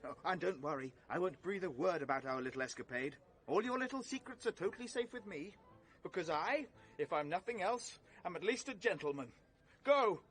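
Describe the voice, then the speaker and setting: An actor playing a hapless, excitable young British gentleman in a vintage radio drama, theatrical and self-pitying with genteel old-fashioned exclamations. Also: high voice, brisk. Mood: desperate, indignant.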